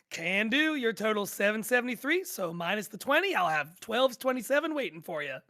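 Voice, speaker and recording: A woman's voice: on the high side, big pitch swings, fairly quick, a bit bright. A woman playing a friendly, easily amused drive-thru worker, chatting casually in an audio drama table read. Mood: helpful.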